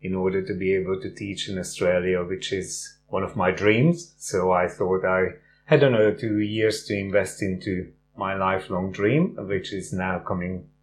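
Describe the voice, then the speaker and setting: A man's voice: deep voice, fairly even intonation, moderate pace, a little hiss or hum. A middle-aged man who grew up in Hungary, telling his story as a guest in a casual interview, with a lot of "uh" fillers. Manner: warm storytelling with frequent "uh" fillers. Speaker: guest, runner and teacher.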